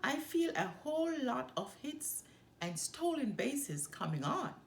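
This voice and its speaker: A woman's voice: sits high, big pitch swings, unhurried, voice pushed up, exaggerated voice. A woman voicing a confident, competitive young girl bear cub from a children's storybook, with boastful bounce. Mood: cocky. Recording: echoey room with faint background noise.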